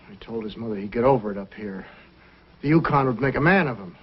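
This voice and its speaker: An emotional, husky frontiersman. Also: mid-range voice, animated, fast talker. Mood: anguished, bitter. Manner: emphatic, emotional. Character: anguished, guilt-ridden frontier man. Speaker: radio actor. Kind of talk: old-time radio drama acting. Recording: dull, husky old radio recording.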